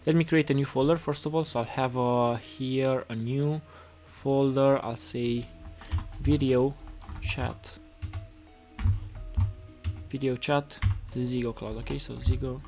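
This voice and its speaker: A man's voice: medium pitch, steady tone, darkish tone. Romanian man streaming over background music. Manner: halting, repeats words while reading chat. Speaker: live-stream host and coding tutor. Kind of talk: casual live coding tutorial with chat replies. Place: indoors at a computer desk. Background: music playing, keyboard typing.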